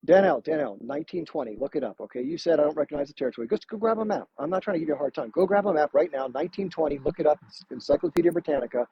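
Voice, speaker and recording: A man hosting a live stream, arguing forcefully with a caller and talking over him. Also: on the high side, lively intonation, very fast, hardly any pauses. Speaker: livestream host. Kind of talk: heated live debate. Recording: muffled live stream with background noise.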